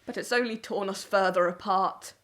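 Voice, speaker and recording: A woman's voice: medium pitch, moderate pace, natural voice. A woman playing a bitter, strict farm wife and mother in a wartime audio play. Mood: bitter, hurt.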